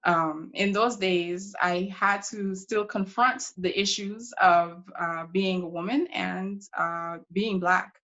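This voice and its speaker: A woman playing a proud, determined pioneering congresswoman from Brooklyn, answering questions in character with deliberate, reflective phrasing broken by pauses. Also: mid-range voice, steady tone, a bit bright, muffled recording. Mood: candid, proud. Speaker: historical reenactor taking audience questions.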